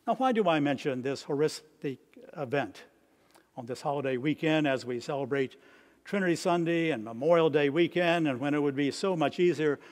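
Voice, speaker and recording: A man in his seventies preaching a sermon from notes as a priest, in measured, deliberate phrases with pauses. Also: on the high side. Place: stone church, at a lectern.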